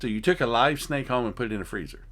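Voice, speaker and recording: A man's voice: medium pitch, animated, rapid-fire, fairly bright tone, a little hiss or hum. A man playing reporter in an improvised comedy interview, putting skeptical, teasing questions to an eyewitness.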